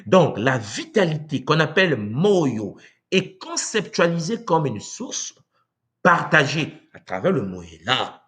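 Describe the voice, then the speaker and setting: A man from Congo giving a live talk to viewers, animated and rhetorical, often asking "n'est-ce pas?" and acting out quoted voices. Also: on the high side, slow. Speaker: speaker on spiritual tradition. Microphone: livestream mic.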